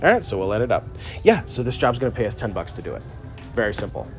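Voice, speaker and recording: A lower-pitched man talks loosely and unhurriedly, filling his sentences with ums and uhs as he explains things on a live stream. He is inside a pharmacy store, with music clearly playing in the background. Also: fast. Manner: relaxed, rambling with many fillers. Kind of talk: casual live-stream how-to talk.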